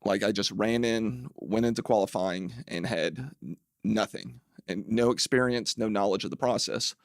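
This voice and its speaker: A man's voice: on the low side, moderate pace, dry recording, studio quality. A man, a city council member, speaking as a podcast guest in a wry, analytical way with many fillers. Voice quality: gravelly. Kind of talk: casual interview chat.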